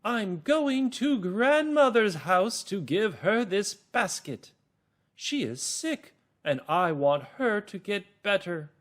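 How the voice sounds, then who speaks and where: A man's voice: sits high, very animated, takes it slowly, fairly bright tone, voice pushed up, exaggerated voice. A man voicing an innocent, trusting little girl in a singsong way for a children's storybook reader's theater. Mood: innocent, earnest.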